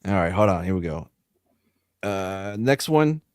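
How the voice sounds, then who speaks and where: A man's voice: low voice, unhurried, clear, resonant tone, dry recording, studio quality. A man hosting a casual podcast, laughing as he reads listener emails aloud. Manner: laughing, animated, reads emails in funny voices. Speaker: podcast host.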